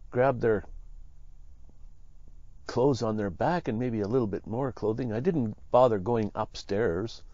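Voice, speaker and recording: A man casually narrating his walk through abandoned houses, easygoing and halting, with frequent chuckles. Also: medium pitch, dark tone, no room sound, dull audio. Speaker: vlogger. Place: outdoors in a rural ghost town and inside abandoned houses.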